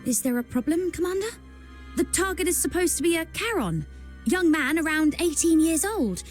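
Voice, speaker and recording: A woman's voice: extremely high pitch, lively intonation, normal speed, faint music behind. A woman playing a curt young soldier on guard duty in a video game, brusque and clipped like a military report. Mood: dutiful. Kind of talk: scripted video game acting.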